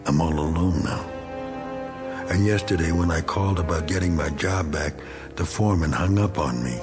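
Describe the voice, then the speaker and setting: An actor playing a bitter, bigoted, self-pitying middle-aged American war veteran in a radio drama, with blustering, needling, slangy tough talk. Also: male voice, low voice, normal speed, over music, natural voice. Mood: pleading, self-pitying. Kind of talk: dramatic radio play acting.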